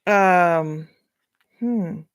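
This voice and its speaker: Woman, Dutch accent, lowish voice, unhurried spiritual coach musing aloud, dry close sound. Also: smooth, dry recording. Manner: thinking aloud, musing, hesitant. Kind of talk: casual spiritual reading.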